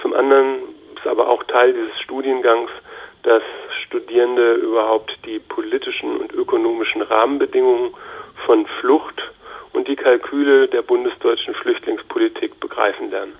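Man with tinny phone-like sound, gravelly. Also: mid-range voice, fairly even intonation. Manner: measured, academic, long sentences. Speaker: university lecturer in political science. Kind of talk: explanatory interview talk.

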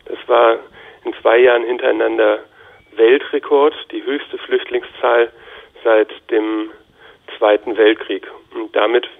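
A male university lecturer explaining things in a measured, academic way, with long sentences. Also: mid-range voice, fairly even intonation, creaky, narrow, tinny audio. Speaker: university lecturer in political science. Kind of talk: explanatory interview talk.

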